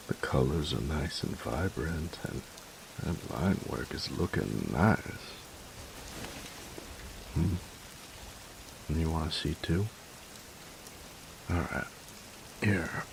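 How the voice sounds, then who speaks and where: A gruff young bully boyfriend, acted by a man in an intimate roleplay, with an extremely low voice full of heavy fry. He takes his time and teases with a tender undertone. Clear hiss and rain sit behind him. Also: full character voice. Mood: relaxed, pleased. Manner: gruff, teasing, halting when tender. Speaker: voice actor in roleplay audio. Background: steady rain.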